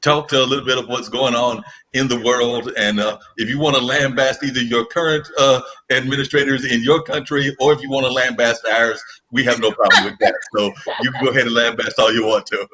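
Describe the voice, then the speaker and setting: A man's voice: medium pitch, fairly even intonation, fairly quick, hardly any pauses, sharp tone, poor audio. A man hosting a radio talk show from home over a video call, rambling with many filler phrases. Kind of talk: casual talk show chat.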